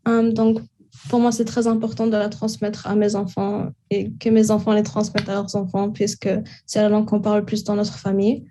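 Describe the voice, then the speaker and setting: A teenage girl, a high school student, speaking in a steady, measured way with occasional fillers. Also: background noise. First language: French and Arabic household. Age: teens. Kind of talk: spoken testimony.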